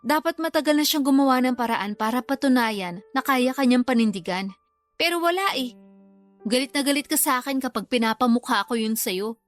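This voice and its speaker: A woman's voice: high-pitched, fairly quick, faint music behind, lightly acted. A woman playing a frank, scolding but supportive younger sister in a radio drama, with a Filipino accent. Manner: blunt, reproachful. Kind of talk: Tagalog radio drama acting.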